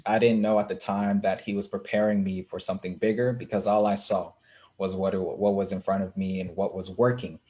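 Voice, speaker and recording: Young man, low voice, earnest talk. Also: level tone, warm tone. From Kenya. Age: young adult. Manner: earnest, with frequent "uh" pauses. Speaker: author and writing coach, guest. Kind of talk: casual interview talk.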